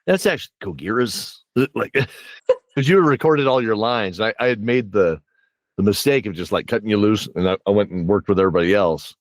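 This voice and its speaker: A man's voice: lowish voice, fairly quick, no echo. A man hosting a casual podcast interview, chatting conversationally with his guest. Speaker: podcast host and producer.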